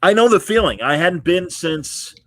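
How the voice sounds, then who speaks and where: A middle-aged man hosts a relaxed interview show. His talk is chatty and informal, with an enthusiastic streak, and his voice sits at an ordinary male pitch in a dry, close recording. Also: moderate pace, no echo. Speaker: podcast host and interviewer. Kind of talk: casual interview chat.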